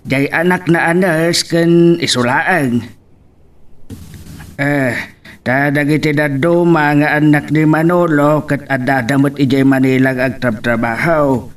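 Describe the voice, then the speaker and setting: A man's voice: fairly high pitch, steady tone, slow, distant mic. A man playing an elderly, concerned village father in an Ilocano audio drama. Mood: matter-of-fact. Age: older. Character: elderly village father, plain-spoken, concerned for his child. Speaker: actor in radio-style drama.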